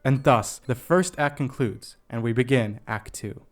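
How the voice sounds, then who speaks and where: A man's voice: mid-range voice, unhurried. A man reading a scripted video essay about games, telling the story with dry, self-deprecating asides. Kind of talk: scripted narration of a game review. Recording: music behind the voice. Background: background music.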